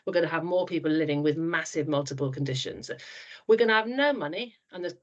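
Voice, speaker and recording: A woman's voice: low voice, fast talker, dull audio. A woman in her fifties from South Wales with a Welsh accent, chatting frankly as a podcast guest, with frequent restarts. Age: 50s. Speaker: trust board chair, guest. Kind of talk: podcast interview.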